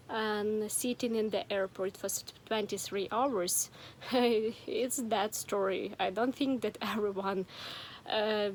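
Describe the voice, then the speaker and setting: A woman's voice: medium pitch, slight background noise. A young woman from Ukraine telling her own story in a casual vlog, chatty, with many fillers and restarts. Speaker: vlogger.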